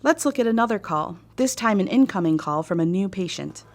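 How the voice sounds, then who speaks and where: A woman with an American accent reading scripted instructional narration in a measured, formal way. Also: mid-range voice, animated. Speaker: training narrator.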